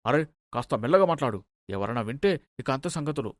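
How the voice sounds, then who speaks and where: A man's voice: mid-range voice, expressive, fairly quick, no room sound, studio quality, lightly acted. A man voicing a secretive, concerned husband in a cartoon family story, questioning with urgency. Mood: wary, urgent. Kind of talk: cartoon moral story dialogue.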